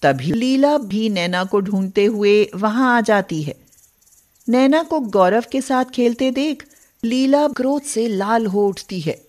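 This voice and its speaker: Slow-paced woman narrating a story. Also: on the high side, animated, darkish tone.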